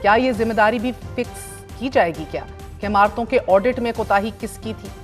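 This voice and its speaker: A woman's voice: a little high, brisk, soft background music. A woman, hosting a news talk show, delivering scripted commentary with indignant, pointed rhetorical questions.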